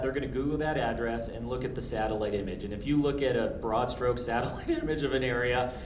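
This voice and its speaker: Casual male presenter, forties, mid-pitched, fielding workshop questions in an echoey room. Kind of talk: informal presentation and audience Q&A. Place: hotel-style conference room. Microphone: handheld microphone. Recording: roomy sound with rumbling background noise.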